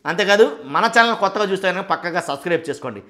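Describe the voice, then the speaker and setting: A man's voice: high-pitched, lively intonation, rapid-fire, pushed and bright. A man giving casual political commentary to his viewers, explaining conversationally, repeating phrases for emphasis and posing rhetorical questions. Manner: asks rhetorical questions, acting out others' reactions. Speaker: host of a political commentary channel.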